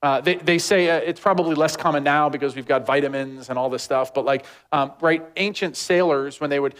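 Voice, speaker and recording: High-voiced man giving a chatty sermon. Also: a little fast. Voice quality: says he has a deep voice. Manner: rambling, animated, heavy use of "like". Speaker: pastor. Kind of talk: casual conversational sermon.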